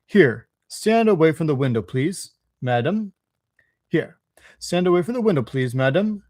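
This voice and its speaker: A man's voice: a little high, expressive, measured pace, a little mellow, no echo, studio quality. Man doing a scornful railway ticket clerk. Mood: mocking dismissal. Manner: mocking, brusque.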